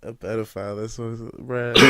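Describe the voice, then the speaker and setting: A young man hosting a casual interview, joking around, laughing, and loudly protesting in fun. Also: medium pitch, background noise. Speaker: interviewer, channel host.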